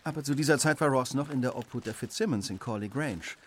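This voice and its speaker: An actor playing a keen, determined Victorian gentleman detective in a radio play, with precise, polite diction. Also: medium pitch, quick pace, slight background noise, lightly acted. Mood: thoughtful, urgent.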